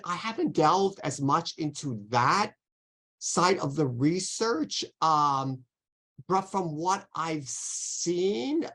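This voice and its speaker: A man's voice: on the high side, a bit bright. A man answering questions as a guest lecturer in an online academic talk, careful and hedging, with many restarts. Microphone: video call.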